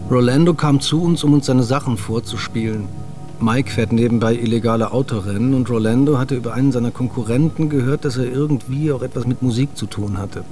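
A man's voice: medium pitch, fairly quick, warm tone, soft background music, natural voice. An actor playing a male techno insider who recounts past events matter-of-factly in a radio drama. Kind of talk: scripted radio drama narration.

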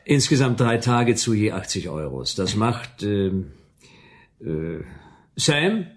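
A man's voice: on the low side, big pitch swings, slow, breathy edge, full character voice. A man playing a wry private detective in a radio play, speaking in a curt, sardonic and bossy way. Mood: casual. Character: wry, brisk male private detective, bossy with his computer.

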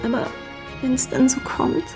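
A young actress playing an emotional, defensive young lover being questioned in a German radio crime drama, often hesitating. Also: female voice, a little slow, music in the background, natural voice. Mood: distressed. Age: young adult. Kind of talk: German radio play acting.

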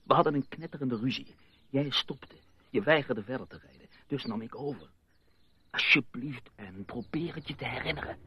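An actor playing an urgent, impatient, hot-tempered journalist husband in a Dutch radio play. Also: male voice, medium pitch, normal speed, a little hiss or hum, natural voice. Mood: irritated, heated. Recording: dull, muffled old radio recording.

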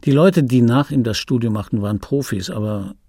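An actor playing an embittered, wistful dubbing actor in his fifties, delivering a dry, brooding radio play monologue in a husky voice. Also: mid-range voice, brisk, mellow, dry recording. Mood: wistful, defensive. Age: 50s. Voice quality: husky, gravelly.

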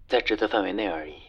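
Man acting a gentle, husky-voiced young courier. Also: fairly low pitch, dull audio, heavily acted. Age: young adult. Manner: soft-spoken, courteous. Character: gentle, polite, teasing young male courier. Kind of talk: Chinese audio drama acting. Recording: music under the voice. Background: music.

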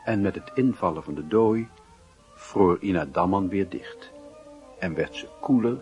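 A man's voice: low-pitched, lively intonation, measured pace, darkish tone, muffled recording, soft background music. A man narrating a radio play in a scripted, literary style, as a sombre storyteller. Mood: solemn, literary. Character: sombre literary male narrator of a boy's youth.

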